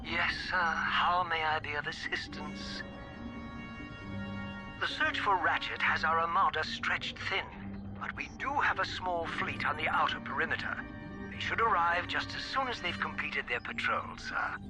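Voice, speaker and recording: A man voicing a sardonic robot butler who speaks politely with deadpan sarcasm, over game music. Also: on the high side, unhurried, natural voice, low-quality recording.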